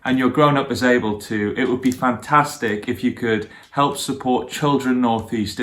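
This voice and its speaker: A man's voice: medium pitch, fairly bright tone. A man reading stories for young children and speaking to them directly as a guest storyteller.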